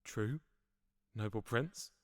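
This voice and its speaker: An actor playing a proud, calculating duke in a Shakespearean audio drama, who speaks in measured, formal pleas. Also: male voice, lowish voice, no room sound, clean studio sound.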